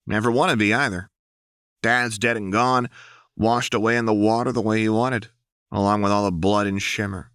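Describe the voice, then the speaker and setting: A man's voice: on the low side, steady tone, normal speed, a bit bright, smooth, no room sound, clean studio sound, voice pushed up, close to an everyday voice. A man voicing a brash, volatile young woman gang leader in an audiobook, blunt, sarcastic and defiant. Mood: defiant, resolute.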